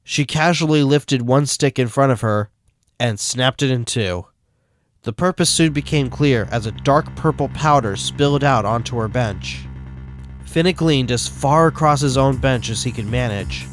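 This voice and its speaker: A man's voice: mid-range voice, normal speed. A man reading scripted story narration as the narrator. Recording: music behind the voice.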